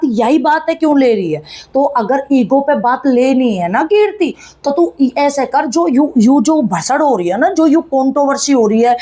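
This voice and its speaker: A woman's voice: high voice, warm tone. A woman, giving casual, blunt, chiding gossip commentary to viewers in colloquial speech. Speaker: YouTube commentator.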